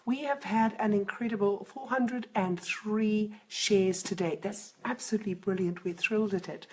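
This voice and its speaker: A middle-aged woman, a parenting coach, talking live to viewers on a stream, hoarse and croaky from a cold, chatty and reflective. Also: mid-range voice, a little mellow.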